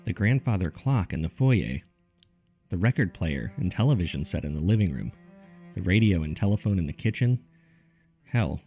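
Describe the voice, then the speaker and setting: A man narrating a scripted spooky first-person story in a measured, dry, conversational way, as if reading from a journal. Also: lowish voice, mellow. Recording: music under the voice. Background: background music.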